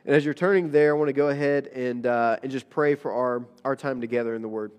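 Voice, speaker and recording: A man's voice: medium pitch, quick pace, a little mellow, smooth. A male pastor giving a conversational sermon, nasal from a recent illness, with frequent fillers and restarts.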